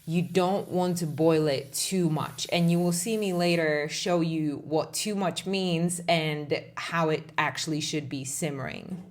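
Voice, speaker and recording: A young woman speaks English with a light Czech accent, her voice sitting a little low. She walks through a recipe in a relaxed, conversational voice-over, explaining each step like she's chatting with a friend. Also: measured pace, hardly any pauses. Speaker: home cooking vlogger.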